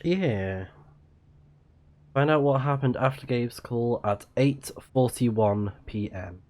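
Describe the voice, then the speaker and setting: A man doing low-key, musing live commentary on a game he is playing, sometimes reading out what is on screen. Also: slow pace, darkish tone. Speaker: game streamer. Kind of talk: let's play commentary, reading screen text.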